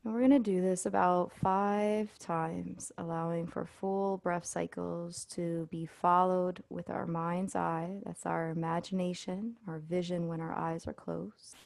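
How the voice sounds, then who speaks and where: A woman leading a guided body scan meditation as an instructor, speaking in a soothing, unhurried way with pauses. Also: fairly low pitch, steady tone, vocal fry, a little mellow, no echo.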